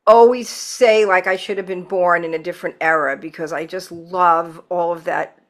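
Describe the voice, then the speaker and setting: A woman's voice: lowish voice, fairly even intonation, moderate pace. A woman hosting a podcast over a video call, chatting casually and gushing with enthusiasm. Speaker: podcast host. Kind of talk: casual interview chat.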